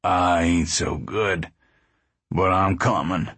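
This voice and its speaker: Man playing a weary, low-voiced, guilt-ridden uncle. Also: fairly even intonation, slow, gravelly, a bit bright, slightly rough, no echo, exaggerated voice. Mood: sad, resigned. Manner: weary, downcast. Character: sick, weak, sad, guilt-ridden older uncle.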